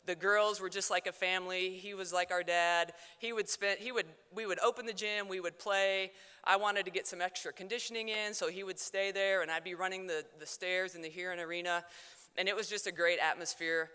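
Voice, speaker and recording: A young woman with a mixed South Dakota and Texas accent gives a loud, fast, joking acceptance speech at a banquet. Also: lowish voice, fairly even intonation, smooth. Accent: mix of South Dakota and Texas. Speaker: hall of fame inductee giving acceptance speech. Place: banquet hall. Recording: hall reverb.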